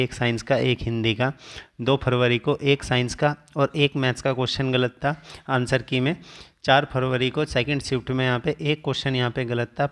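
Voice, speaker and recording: A man speaking as a coaching teacher, explaining an exam update step by step and listing figures. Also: mid-range voice.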